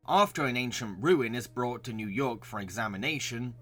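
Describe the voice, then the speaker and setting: A man's voice: mid-range voice, expressive, normal speed, sharp tone, faint music behind. A man reading scripted narration as a reviewer presenting cartoons. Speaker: video essayist reviewing cartoons.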